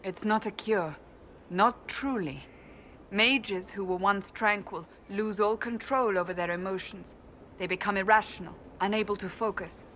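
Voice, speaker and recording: An actress playing a stern, devout woman warrior in a video game cutscene, speaking gravely and formally. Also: mid-range voice, fairly bright tone, close to an everyday voice. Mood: sober, sincere. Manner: grave, formal, deliberate phrasing. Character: stern, devout woman warrior of a holy order, troubled and earnest. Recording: reverberant hall, faint background noise.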